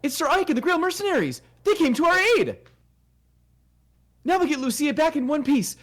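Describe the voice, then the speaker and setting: Man voicing a lively, earnest young queen. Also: very high voice, voice pushed up. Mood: relieved, excited. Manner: formal, measured, earnest. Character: young earnest queen, gentle yet resolute. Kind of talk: game dialogue read aloud in a fan dub.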